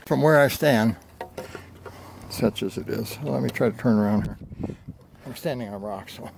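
An older man in his seventies talks casually as he hikes, with plenty of gravel in a mid-pitched voice. He is folksy and pokes fun at himself. It is recorded outdoors on a handheld camera, with faint background noise. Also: moderate pace. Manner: folksy, self-deprecating. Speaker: hiking vlogger. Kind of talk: casual narration while hiking. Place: outdoors on a rocky desert mesa slope. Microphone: handheld camera at arm's length.

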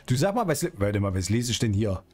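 A man's voice: fairly low pitch, sing-song, brisk, darkish tone. A middle-aged man streaming an online roleplay game, chatting casually in character. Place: indoor streaming room, music behind.

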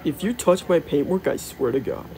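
A man's voice: fairly high pitch, moderate pace, warm tone, a little hiss or hum, close to an everyday voice. A self-important cartoon steam engine , voiced by a man. He is vain and easily offended, and talks in a huffy way.

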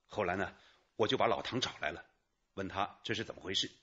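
A man's voice: deep voice, fast, pushed and bright, husky, lo-fi sound, full character voice. An actor playing an earnest junior male detective explaining things to his chief in a radio drama. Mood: earnest, reporting. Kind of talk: Chinese radio drama acting.

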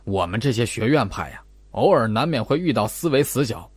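A man's voice: mid-range voice, sing-song, a little fast, husky, dry recording. A man voicing a condescending, long-winded older male investigator in an audiobook, with a drawling, patronizing way of talking. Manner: drawling, patronizing, sentence-final particles. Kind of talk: audiobook character dialogue.

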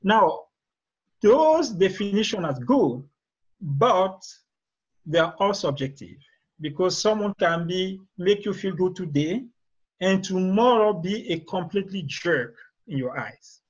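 A man from Cameroon with a Cameroonian accent and a husky voice, explaining his views as an interview guest on a video call. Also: high voice, animated, a little slow. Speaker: guest author and relationship expert. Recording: dull, lo-fi video call audio.

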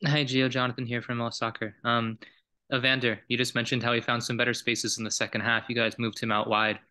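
A male sports reporter puts a question to a coach at a press conference, talking conversationally and working out his phrasing as he goes. His voice is bright and forward. He keeps to a narrow, level pitch. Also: flat delivery, brisk. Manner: conversational, thinks aloud while framing the question.

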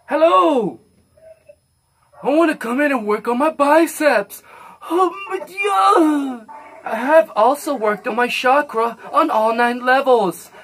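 Super high manic gym bro prank caller, boastful, dragging words out, whooping. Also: male voice, fairly bright tone, husky, exaggerated voice. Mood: boastful, excited. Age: young adult. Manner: exaggerated, drawn-out, whooping. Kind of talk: prank phone call comedy. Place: small cluttered room.

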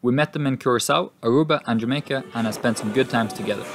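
A man's voice: mid-range voice, quick pace, very noisy. A man narrating a sailing vlog in a scripted voice-over.

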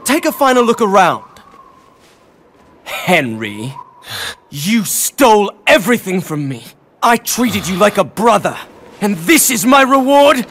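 A man playing a bitter, theatrical young villain bent on revenge in an animated game. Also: high-pitched, a little slow, long pauses, full character voice. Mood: gloating, menacing. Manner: dramatic, embittered. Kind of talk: animated game cutscene acting. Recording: loud dramatic music behind.

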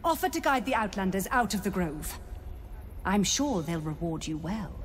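An actress playing a stern, ruthless elf druid leader in a fantasy game, imperious and commanding. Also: female voice, mid-range voice, lively intonation, normal speed, a little hiss or hum, close to an everyday voice. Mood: menacing, imperious. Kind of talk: dramatic fantasy game acting. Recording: long reverb with music behind.

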